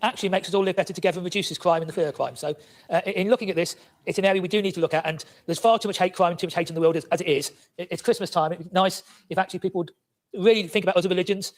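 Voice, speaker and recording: An earnest man councillor addresses a council meeting and stumbles over fillers as he goes. His voice is quite high for a man, and he talks at great speed. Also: steady tone. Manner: earnest, halting with many fillers. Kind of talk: formal speech in a council meeting.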